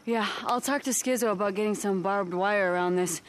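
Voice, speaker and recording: A capable woman engineer from a post-apocalyptic game voiced by an actress. Her voice sits at an ordinary pitch, casual and brisk, with a playful bossy streak. There is faint noise behind her. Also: close to an everyday voice. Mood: breezy. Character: capable, teasing young woman engineer in survivor camp. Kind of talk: naturalistic game dialogue.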